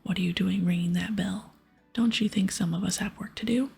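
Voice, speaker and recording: A woman voicing a stern, busy aged wizard from a game in a formal, measured ASMR whisper. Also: mid-range voice, steady tone, darkish tone, faint music behind. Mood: irritated. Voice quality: breathy whisper.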